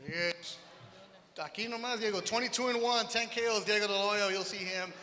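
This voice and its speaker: High, bright man hyping a press event. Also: a little fast. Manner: energetic, hype announcing. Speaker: press conference emcee. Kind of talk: press conference hosting. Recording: reverberant hall with faint background noise.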